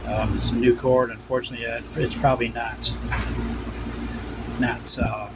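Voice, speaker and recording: A man's voice: mid-range voice, little pitch movement, moderate pace. A man giving an unscripted, instructional hands-on demonstration of vintage radio work, repeating his cautions as he goes. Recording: loud hum and background noise.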